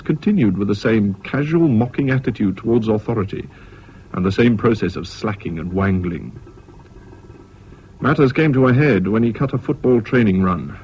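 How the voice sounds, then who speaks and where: An actor as a measured, wry English narrator, giving scripted first-person narration in a radio drama. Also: male voice, on the low side, a little mellow, natural voice. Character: measured, wry male narrator recalling schooldays. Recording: dull, hissy old radio recording.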